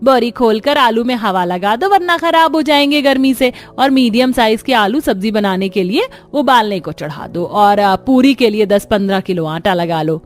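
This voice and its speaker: A woman's voice: a little high, lively intonation, normal speed, few pauses, natural voice. A woman voicing a domineering older mother-in-law in a cartoon, brusque and commanding. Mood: bossy.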